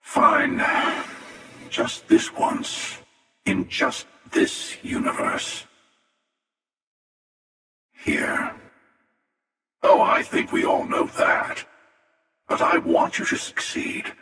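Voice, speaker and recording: Sardonic older villain in a sci-fi game: world-weary rasp, wildly swinging pitch, heavily echoing. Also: male voice, wildly swooping pitch, bright, forceful tone, full character voice. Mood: measured menace. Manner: slow, deliberate, sardonic, taunting. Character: world-weary, menacing older male villain, immortal spacefaring hunter. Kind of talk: video game character dialogue. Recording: echoey voice with long reverb, distant.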